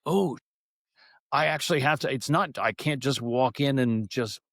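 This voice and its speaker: A man's voice: mid-range voice, normal speed, a bit bright, no room sound, studio quality. A middle-aged man chatting casually as an interview guest, candid and often interrupting himself mid-thought as he tells stories. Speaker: interview guest, stage and radio theater actor.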